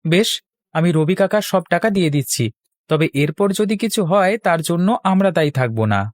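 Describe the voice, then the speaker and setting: A man's voice: sits high, expressive, a little fast, no echo. A young village lad from a cartoon, determined and full of schemes. The voice is fairly light for a male, recorded dry and close. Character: resolute, scheming young village man, loyal friend. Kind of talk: Bengali cartoon voice acting.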